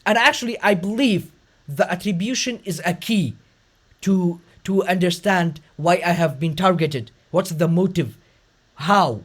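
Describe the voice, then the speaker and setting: High-pitched host, lots of uh and restarts, explaining stuff. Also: male voice. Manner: fast, effortful talk with frequent fillers and restarts. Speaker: podcast host. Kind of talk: conversational interview.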